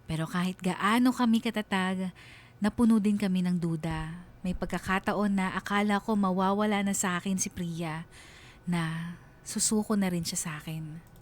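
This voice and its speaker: A man's voice: high voice, steady tone, normal speed, slight background noise, lightly acted. An actor playing a troubled young boyfriend who narrates his love story in a reflective, confessional way for a radio drama. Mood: solemn, reflective.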